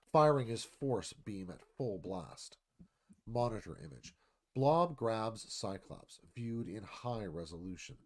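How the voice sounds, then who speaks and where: Lively mid-pitched male narrator. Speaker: narrator reading stage directions. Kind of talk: dramatic scripted narration.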